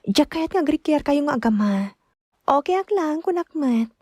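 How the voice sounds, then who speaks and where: A woman's voice: high voice, animated, moderate pace, darkish tone, dry recording. A woman with an Ilocano accent playing a meek, long-suffering young daughter-in-law in a radio drama, hesitant and plaintive. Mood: reassuring, troubled. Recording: music bed behind close dialogue.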